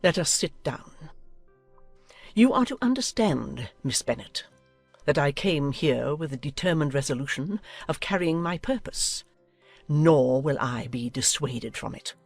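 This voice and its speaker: A woman's voice: sits low, animated, measured pace, no room sound, soft background music, exaggerated voice. A woman playing a haughty, domineering older noblewoman in a dramatized audiobook, speaking with imperious, declamatory emphasis. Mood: angry.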